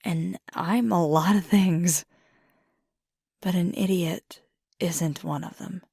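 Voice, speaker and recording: A woman playing a young, earnest werewolf girlfriend in an intimate ASMR roleplay, soft-spoken and hesitant, with a husky, breathy voice. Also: fairly low pitch, slow pace, dry recording, studio quality, exaggerated voice. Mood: earnest, resolved. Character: fiery young female werewolf girlfriend, earnest, ambitious, vulnerable.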